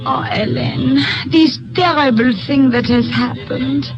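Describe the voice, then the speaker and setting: A high, slow-spoken café singer with a tremulous, formal way of talking. She comes from a 1950s radio drama, so her voice has a dull, narrow-band sound with music playing behind it. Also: fairly even intonation. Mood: mournful. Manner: emotional, tremulous, formal phrasing. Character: grieving glamorous woman café singer, flirtatious. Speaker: nightclub singer.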